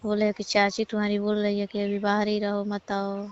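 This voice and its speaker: A woman's voice: medium pitch, completely flat delivery, normal speed, clean tone, no room sound, highs cut off. A woman chatting casually in a private phone conversation, recorded from the call.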